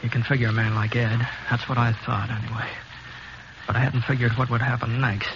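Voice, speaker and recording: An actor playing a steady, laconic gunslinger drifter in a 1950s radio western, with understated, folksy Western delivery. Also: male voice, medium pitch, a little fast, close to an everyday voice. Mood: reflective. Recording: muffled, noisy 1950s radio recording.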